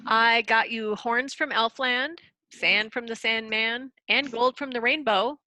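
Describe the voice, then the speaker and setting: A woman's voice: on the high side, steady tone, unhurried, sharp tone, low-quality recording. A woman playing a proud, defensive royal wizard in a storybook readers theater, reciting in a grand, declamatory way. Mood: boastful.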